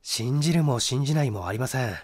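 A man's voice: medium pitch, animated, fairly quick, close to an everyday voice. A man voicing a firm, sceptical man who politely but assertively argues a suspect's guilt in an audiobook mystery story.

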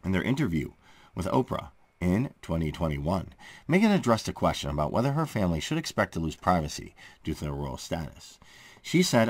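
A man with a deep voice narrates news like a chatty channel host. His pitch swings widely for emphasis, and the close-miked recording is dry and free of room sound. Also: dry recording. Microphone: close voice-over microphone.

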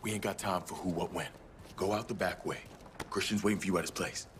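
A man's voice: low-pitched, fairly even intonation, fast talker, background noise, natural voice. An actor playing a tough, blunt young male veteran turned vigilante in a video game. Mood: urgent. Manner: terse, blunt. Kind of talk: video game cutscene acting.

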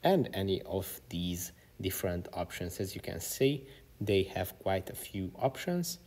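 A man presents a step-by-step tutorial in a low-pitched voice. The tone is rough and husky, and the delivery is that of screen-recording narration. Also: sing-song, a little slow, darkish tone.

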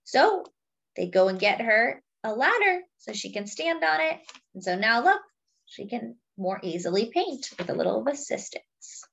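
A woman reading a picture book aloud to young children in a deliberate, teacherly way, adding simple explanations as she goes. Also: fairly high pitch, sing-song, vocal fry, a bit bright, husky, lo-fi sound. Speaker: children's read-aloud storyteller.

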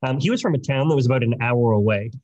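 Husky-voiced man on a panel. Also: mid-range voice, fast, darkish tone, no echo. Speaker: storyteller, filmmaker panelist. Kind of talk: conversational panel talk with anecdote. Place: indoor room on a video call.

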